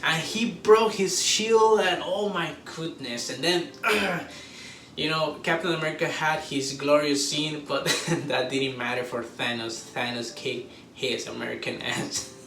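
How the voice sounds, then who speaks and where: Higher-pitched man in an echoey room. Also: distant mic. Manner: excitable fan talk with drawn-out exclamations. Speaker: host of a movie review show. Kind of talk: casual, unscripted movie review chat. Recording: roomy echo, off-mic, faint background noise.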